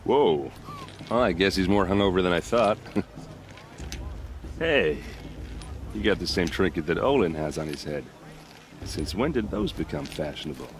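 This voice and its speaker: A man's voice: low-pitched, lively intonation, moderate pace, pauses often, a little hiss or hum. An actor playing a friendly, easygoing young male soldier in a game cutscene, talking in a casual, joking way. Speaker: game character. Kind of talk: video game cutscene dialogue.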